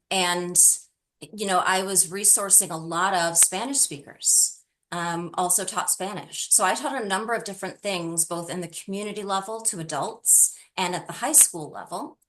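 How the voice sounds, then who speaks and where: Earnest middle-aged woman, slightly low voice. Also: normal speed, a bit bright, poor audio. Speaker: interview guest, tutoring business founder. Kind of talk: conversational interview answers.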